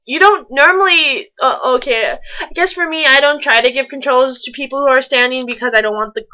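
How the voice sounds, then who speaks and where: Female amateur reader, high and very bright voice, informal narration with casual asides. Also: brisk, poor audio. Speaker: reader and co-commentator of fan fiction. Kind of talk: storybook-style reading mixed with casual mocking commentary.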